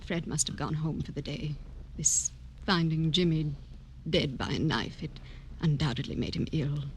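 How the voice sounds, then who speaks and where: A woman acts out an anxious nun in a 1950s radio drama. Her voice is low for a woman, soft and formal, with a hesitant edge. The vintage recording carries slight noise. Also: moderate pace, close to an everyday voice. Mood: protective. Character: anxious, proper nun at a hospital.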